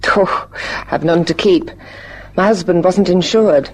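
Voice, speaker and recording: An actress playing a trusting, talkative widowed housewife in a vintage radio drama, with faint noise on the recording. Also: female voice, fairly low pitch, unhurried, rough recording. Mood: confiding, chatty. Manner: rambling, conversational. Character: chatty, genteel widowed housewife, trusting and forthcoming.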